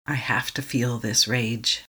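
A meditation guide leading a tapping session, speaking slowly and calmly in short affirmations for the listener to repeat. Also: mid-range voice, husky. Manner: calm, slow, repeating affirmations to be echoed back.

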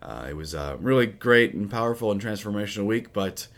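Low-voiced raspy priest, casual chat. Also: male voice, fairly quick. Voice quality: low, husky. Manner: relaxed, rambling with many fillers. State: raspy, recovering voice. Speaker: priest, podcast co-host.

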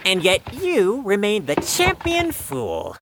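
A woman's voice: mid-range voice, huge pitch swings, slow pace, noisy background, full character voice. A woman playing a bookish, haughty young know-it-all with pedantic, formal speech in an audio drama.

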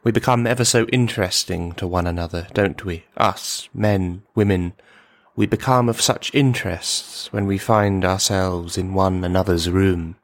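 A man playing a lonely, menacing misogynist in a radio play monologue, speaking in formal, mannered, halting phrases. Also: deep voice, fairly even intonation, no room sound, clean studio sound. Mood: leering, unsettled.

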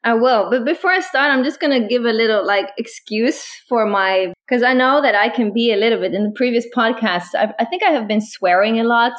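A woman in her thirties from Northern Norway, speaking English with a Northern Norwegian accent as a podcast co-host, relaxed and laughing often. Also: a little high, a little fast, hardly any pauses, muffled recording. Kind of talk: casual chat.

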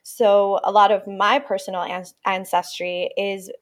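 A woman's voice: mid-range voice, level tone, unhurried. A woman who grew up in Puerto Rico, a native Spanish speaker, talking reflectively as a yoga teacher guest on a podcast. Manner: reflective, thoughtful, uses fillers like "you know". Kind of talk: podcast interview.

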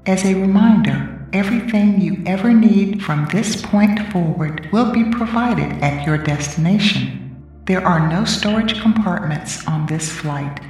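A woman playing an airport boarding announcer, giving formal, measured announcements in a radio drama. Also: mid-range voice. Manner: measured, formal public-address delivery. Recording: distant echoey announcement with soft music.

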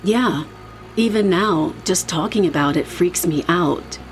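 A woman's voice: fairly low pitch, unhurried, slight background noise, lightly acted. An actress playing a young woman with a casual, reflective manner, in a naturalistic audio drama. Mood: uneasy, reflective. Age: young adult. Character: uneasy young woman haunted by vivid dreams.

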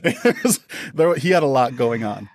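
A man's voice: medium pitch, animated, normal speed, no echo, studio quality. A man answering interview questions as a podcast guest, explaining his craft conversationally and with enthusiasm. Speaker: audio drama producer and performer, podcast guest.

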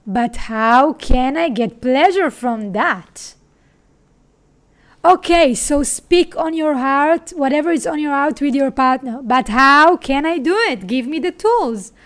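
Israeli woman, high voice, podcast host, emphatic and spiritual monologue. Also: takes it slowly. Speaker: sex coach and podcast host. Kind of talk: personal monologue.

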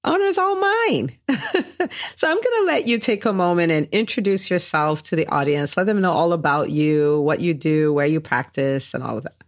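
A woman's voice: deep voice, expressive, moderate pace, no room sound, studio quality. A woman hosting a podcast in an upbeat, promotional style, speaking emphatically and directly to listeners. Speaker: podcast host.

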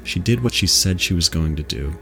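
A man's voice: sits low, lively intonation, a little fast, a bit bright, faint music behind. A man hosting a podcast, delivering scripted film commentary in an articulate, formal manner. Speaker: podcast host.

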